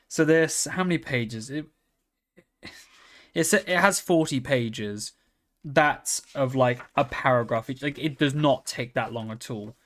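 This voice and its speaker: A man's voice: mid-range voice, fairly bright tone. A man hosting his own video, chatting casually in a rambling, jokey and offhand way. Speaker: video host. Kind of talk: casual chat to camera.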